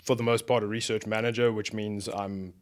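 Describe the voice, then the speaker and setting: A man in his forties from South Africa with a softened South African accent, a guest on a podcast who explains his work at length with frequent fillers. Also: on the low side, level tone, fairly quick. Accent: South African, softened by years in America. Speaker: guest, beef research director. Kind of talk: casual podcast interview.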